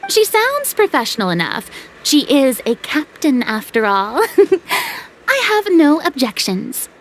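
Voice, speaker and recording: A woman's voice: sits high, huge pitch swings, slow, a little hiss or hum, full character voice, poor audio. A woman playing a theatrical, proud and playful young celebrity in a video game, with a pompous, dramatic manner. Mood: agreeable, breezy.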